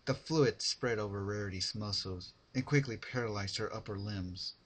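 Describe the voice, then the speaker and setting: A man narrating fan fiction as a dramatic reading, with deliberate phrasing. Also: lowish voice, a bit bright, husky.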